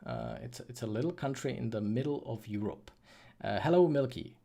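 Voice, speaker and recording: A man with a Czech accent hosts a casual livestream. He talks informally and a little hesitantly, often rephrasing himself as he answers questions from viewers. His pitch sits in a middle range for a man. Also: animated, normal speed, vocal fry, mellow. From Czech Republic. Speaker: livestream host, freelance 3D artist and course creator.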